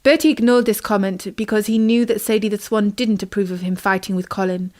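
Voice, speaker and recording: A woman's voice: mid-range voice, steady tone, brisk, a little mellow. A woman with a British accent narrating a children's bedtime story expressively as a storyteller.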